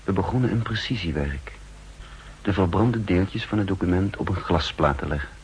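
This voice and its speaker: An actor playing a weary counterspy who gravely recounts his own wounded mission in a 1960s radio drama. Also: sits low, lively intonation, moderate pace, low-quality recording. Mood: strained, businesslike. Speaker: narrator and lead actor. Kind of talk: 1960s Dutch radio drama acting and narration. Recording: hissy, humming 1960s radio recording.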